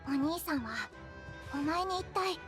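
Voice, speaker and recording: A woman voicing a tiny, excitable female fairy companion in a game, talking in rapid, bubbly chatter. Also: very high voice, over music. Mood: musing. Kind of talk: dubbed game dialogue.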